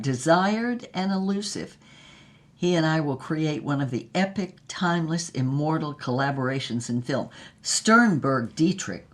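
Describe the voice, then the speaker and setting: Audio drama lead: a languid, self-dramatizing movie star, deep for a woman, very slow and theatrical delivery. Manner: grandiose, languid, theatrical delivery with drawn-out words. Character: Mynx Devlin, grandiose theatrical female film star reminiscing. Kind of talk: dramatic audio fiction monologue.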